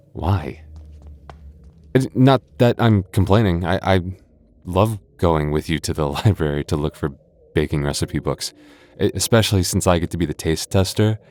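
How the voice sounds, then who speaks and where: A man's voice: low-pitched, animated, normal speed, pauses often, a little mellow. A man voicing a playful, teasing young goth boyfriend in an ASMR roleplay, often stammering and chuckling. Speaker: voice actor.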